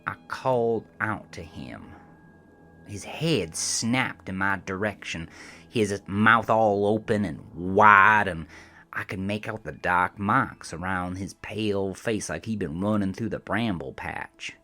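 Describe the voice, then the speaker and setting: A man's voice: fairly low pitch, slow, sharp tone, full character voice. A man playing a cranky, aging rural American trucker, telling a first-person horror story in a folksy, profane, rambling way. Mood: tense, haunted. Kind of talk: first-person horror audio drama narration.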